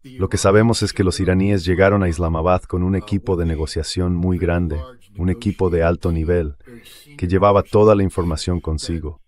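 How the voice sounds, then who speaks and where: A man's voice: sits low, fairly even intonation, fairly quick, a little mellow. A man speaking as a political guest commentator, explaining things in a blunt, emphatic way in a translated voice-over.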